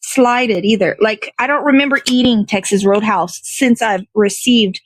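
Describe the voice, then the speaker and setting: A woman's voice: fairly high pitch, measured pace, no room sound. A woman hosting a casual livestream outdoors, chatting in a meandering way as she thinks aloud. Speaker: host of an online morning show. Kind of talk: casual rambling livestream chat. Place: outdoors in the cold.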